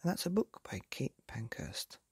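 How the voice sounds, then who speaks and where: Male bedtime storyteller, hushed and breathy, gravelly, unhurried, close dry mic. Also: medium pitch, sing-song, no echo. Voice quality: soft breathy half-whisper. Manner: slow, gentle and lilting. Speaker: storyteller and podcast host.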